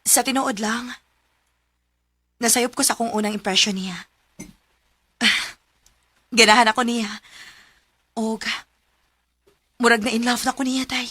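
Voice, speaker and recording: A woman's voice: on the high side, fairly even intonation, measured pace, long pauses, sharp tone, slightly rough, dry recording, heavily acted. A woman playing a lovestruck, hesitant young woman confiding in a Cebuano Filipino accent in a radio romance drama.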